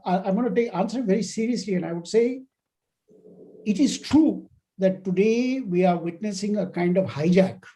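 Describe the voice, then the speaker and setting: Measured male lecturer with a mellow voice. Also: sits high, low-quality recording. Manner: measured, emphatic, explanatory. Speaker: guest lecturer. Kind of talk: academic lecture and Q&A answer. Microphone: online video call.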